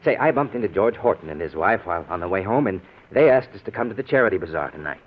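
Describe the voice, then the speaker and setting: A muffled, noisy old radio recording of an anxious husband in a 1940s drama. His lowish voice swings widely, genial on the surface and strained by something he hides. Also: animated, fast. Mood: genial, soothing. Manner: outwardly genial, turning tense and snappish. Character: anxious husband hiding a dark secret.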